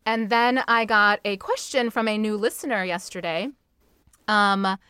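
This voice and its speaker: A woman's voice: medium pitch, a little slow, sharp tone, clean tone, dry recording. A woman hosting a podcast, interviewing in a casual, supportive and enthusiastic conversational way. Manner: supportive, enthusiastic toward guest.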